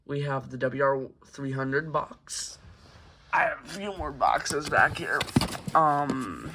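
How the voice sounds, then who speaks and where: Casting: male hobbyist video host, unhurried pace, halting off-the-cuff delivery, casual home review. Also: medium pitch, background noise. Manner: hesitant, halting, unscripted rambling. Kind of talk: casual show-and-tell review. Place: carpeted room at home.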